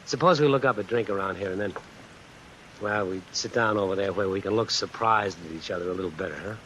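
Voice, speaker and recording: Cocky American drifter, crisp old-radio edge. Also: male voice, low voice, quick pace, rough recording. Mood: irritated, sardonic. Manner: slangy, hard-boiled, sardonic. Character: brash drifter fresh out of jail, cocky and suspicious. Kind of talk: old-time radio suspense acting with first-person narration. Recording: vintage radio recording with hiss and rain. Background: steady rain sounds added over the broadcast.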